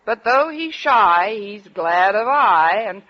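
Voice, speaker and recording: An actress playing a wry, lovelorn high school English teacher with dry delivery in a 1940s radio comedy. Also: female voice, animated, slow pace. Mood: wistful. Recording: dull 1940s radio recording, studio audience.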